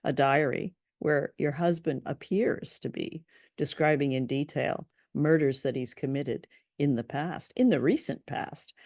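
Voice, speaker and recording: Creaky, higher-pitched man chatting drily. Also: measured pace, no room sound. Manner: deadpan, teasing dry humour. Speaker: co-host of a show reviewing old radio dramas. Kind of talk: casual review chat.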